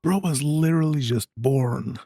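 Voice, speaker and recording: Mellow, husky man commenting on a game. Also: mid-range voice, normal speed, no room sound, studio quality. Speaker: gaming streamer. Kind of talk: casual playthrough commentary.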